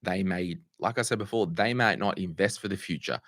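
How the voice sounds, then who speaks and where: A middle-aged Australian man with a croaky voice, hosting a casual Q&A and answering viewers' money questions with asides and restarts. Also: low-pitched, fairly quick, a bit bright, no echo, studio quality. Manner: conversational, restarts and asides while fixing tech. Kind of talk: casual livestream Q&A.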